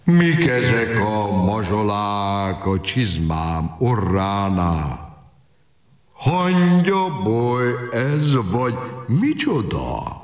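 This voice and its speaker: Man acting a huge kindly giant, echoing and animated. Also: on the low side. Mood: puzzled. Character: huge slow-witted but kindly male giant. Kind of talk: storybook audio drama acting.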